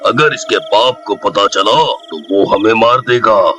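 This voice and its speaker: Man acting a slow, callous village thug. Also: lowish voice, bright, forceful tone. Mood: alarmed. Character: menacing male village thug, sly and callous. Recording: music under the voice.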